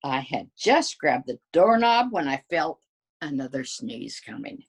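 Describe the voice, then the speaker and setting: Lady playing sly storybook wolf, husky n slow, swoopy pitch, folksy excuses. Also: very animated, full character voice. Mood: matter-of-fact, sly. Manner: folksy, self-justifying storytelling. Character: wily male wolf pleading his innocence. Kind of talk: storybook character narration.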